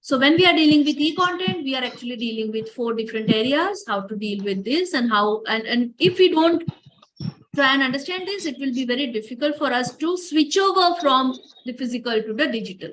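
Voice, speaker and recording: Brief: high-pitched woman, earnest online workshop lecturer on a video call. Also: expressive, fairly quick, a bit bright, a little hiss or hum, rough recording. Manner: earnest, repeats words, addresses participants directly.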